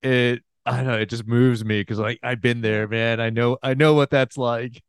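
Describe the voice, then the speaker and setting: A man's voice: mid-range voice, steady tone, normal speed, clean tone, no room sound, studio quality. A male host interviewing a guest casually, reacting with short interjections and laughing along.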